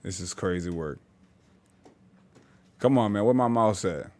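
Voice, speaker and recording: A man's voice: sits low, unhurried. A man hosting a reaction video, joking and swearing in casual commentary. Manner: joking, animated, profane.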